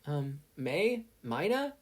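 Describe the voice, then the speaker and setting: A woman's voice: sits low, sing-song, slow, pitched above the performer's own voice, heavily acted. A woman voicing an earnest, polite teenage boy hero in a story reading.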